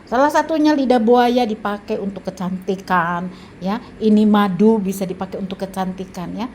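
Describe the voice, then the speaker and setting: A woman in her seventies with an Indonesian accent, talking casually about home remedies, pausing often with fillers and restarts. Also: medium pitch, a little mellow, a little hiss or hum. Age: 70s. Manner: halting, with frequent fillers and restarts. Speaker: health vlogger. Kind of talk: casual advice talk.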